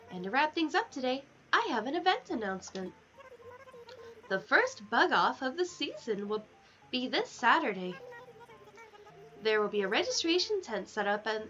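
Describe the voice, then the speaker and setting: Woman acting as cheerful female town announcer, raised pitch, bubbly formal delivery, cozy video game, slightly dull headset audio. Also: a bit bright. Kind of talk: reading game announcements aloud.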